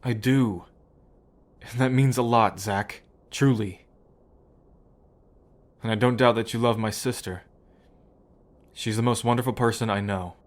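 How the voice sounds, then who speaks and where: A remorseful brother on the run, voiced by a man, in an ASMR drama. He talks slowly at an ordinary male pitch, halting and sincere, full of affection for his family. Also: close to an everyday voice. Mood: grateful, relieved. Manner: hesitant, sighing, heartfelt. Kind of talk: ASMR roleplay acting.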